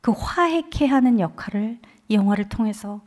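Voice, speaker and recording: A woman's voice: medium pitch, normal speed. Woman giving an earnest church testimony. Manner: earnest storytelling, quoting others with lively emotion. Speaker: guest speaker at a church revival. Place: church sanctuary.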